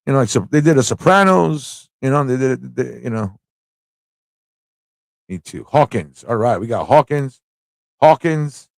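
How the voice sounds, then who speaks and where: A man with a mid-pitched voice hosts a live quiz in a loose, conversational way. He reads questions aloud, repeats them and chats with viewers, and the sound is close and dry. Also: lively intonation, normal speed, gravelly, pauses often, no echo. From United States. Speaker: livestream host running a trivia quiz. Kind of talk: casual live quiz hosting, chatting with viewers.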